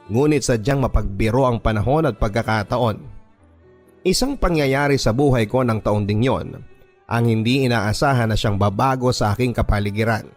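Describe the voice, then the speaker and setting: A man with a voice of ordinary, mid-range pitch reads a listener's letter for a radio drama. He tells it in first person at an earnest, measured pace, with soft music playing underneath. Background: faint background music.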